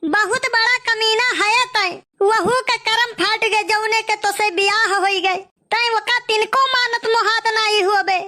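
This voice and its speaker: A woman's voice: very high-pitched, steady tone, a little slow, hardly any pauses, sharp tone, full character voice. A woman playing a scornful young village housewife in a cartoon comedy, scolding sarcastically with an Awadhi accent.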